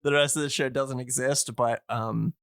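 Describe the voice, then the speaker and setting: A man's voice: mid-range voice, fairly even intonation, moderate pace, vocal fry, a bit bright, no room sound, studio quality. A man in a casual podcast chat, explaining things enthusiastically and in a discursive, meandering way.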